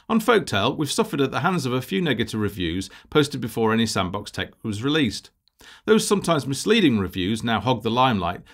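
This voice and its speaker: A man narrating a scripted presentation as a project lead, in a measured, formal, businesslike way. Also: mid-range voice.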